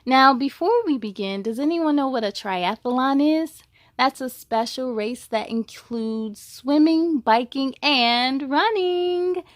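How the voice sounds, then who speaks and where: High voiced lady doing story time for kids, super enthusiastic, talks right to them, really dry sound. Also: takes it slowly, no room sound. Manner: enthusiastic, addresses young listeners directly. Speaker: host of a children's read-aloud story show. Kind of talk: animated children's storybook read-aloud with chatty asides.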